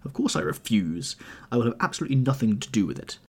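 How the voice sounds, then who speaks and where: A man voicing a hard, cold gentleman scientist who answers with contempt, in an audiobook reading. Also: fairly low pitch, animated, fairly quick, breathy edge. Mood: contemptuous.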